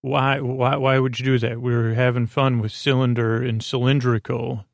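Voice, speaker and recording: Creaky-voiced man, rambling and soothing. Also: medium pitch, flat delivery, no echo. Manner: meandering, halting, trails off into tangents; soothing, lulling. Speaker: podcast host. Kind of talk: rambling, improvised bedtime monologue.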